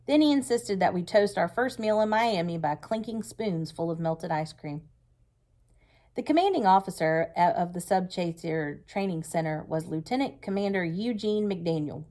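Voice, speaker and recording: A woman narrating a story aloud in an expressive read-aloud style. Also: lowish voice, normal speed.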